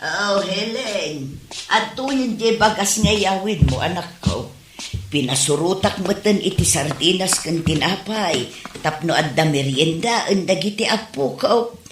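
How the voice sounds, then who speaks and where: A woman's voice: on the low side, animated, a little slow, slight background noise. A woman acting a practical older relative, kind but bluntly disapproving, in a comedy drama recorded in an echoey room. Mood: caring.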